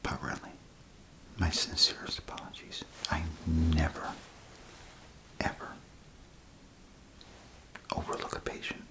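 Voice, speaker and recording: A man performing a whispered ASMR role play as an attentive, apologetic doctor, murmuring in a hesitant, unhurried way. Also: extremely low pitch, flat delivery, bright, forceful tone, rough recording. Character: apologetic, attentive male vault doctor tending battered patients.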